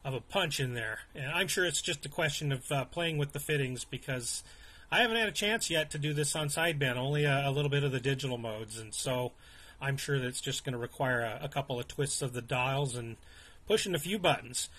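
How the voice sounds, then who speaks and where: A man's voice: medium pitch, brisk, sharp tone, breathy edge. A man talking casually as an amateur radio operator, polite and appreciative. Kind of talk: casual radio contact chat.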